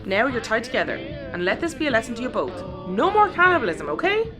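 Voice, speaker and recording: Commanding Irish space captain, animated. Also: female voice, fairly high pitch, fast, music in the background. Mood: stern. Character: tough, commanding female spaceship captain, dry and threatening. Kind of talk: sci-fi audio comedy acting.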